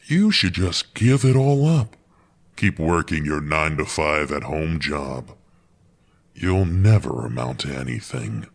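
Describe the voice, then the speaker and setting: An actor playing a young man's mocking inner critic, who speaks in a slow, cutting, belittling way in an audio drama. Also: rumbling low, sing-song, gravelly, exaggerated voice. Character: Otto's cruel inner critic voice, male, mocking.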